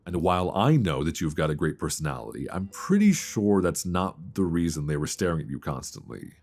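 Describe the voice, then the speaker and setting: A man's voice: sits low, lively intonation, brisk, a little mellow. A man playing a playful, easily flustered old friend in an intimate, conversational romantic audio roleplay, teasing and stammering as he chats. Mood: teasing, flirty. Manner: teasing, stammering, chuckling banter.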